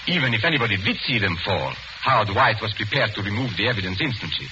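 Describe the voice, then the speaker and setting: An actor playing a suave, confident illusionist detective in a 1940s radio mystery, speaking deliberately with formal courtesy. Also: male voice, lowish voice, expressive, lightly acted. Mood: triumphant explanation. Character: male master illusionist sleuth, suave, confident, unmasking a killer. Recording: noisy muffled 1940s radio recording.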